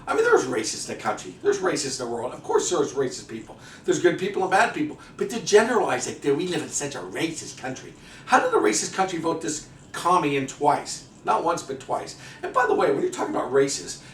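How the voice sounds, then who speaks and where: Ranting male commentator, indignant and sarcastic, fast and lively delivery, echoey room, mic at a distance. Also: on the high side, slight background noise. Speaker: political commentator. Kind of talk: ranting monologue.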